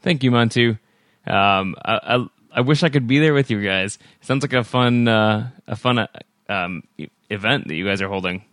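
A man's voice: lowish voice, normal speed, a bit bright, no room sound, clean studio sound. A man hosting a podcast in a casual, friendly way, with easy filler words and restarts. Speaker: podcast host.

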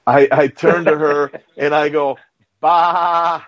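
A man in casual chat, telling a long personal story in an animated way. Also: on the high side, slow. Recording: muffled lo-fi audio.

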